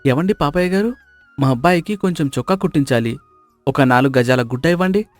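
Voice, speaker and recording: A man's voice: a little high, lively intonation, a little fast, soft background music, close to an everyday voice. A man playing a naive, deferential village fellow in a moral story, speaking politely and apologetically. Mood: polite, doubtful.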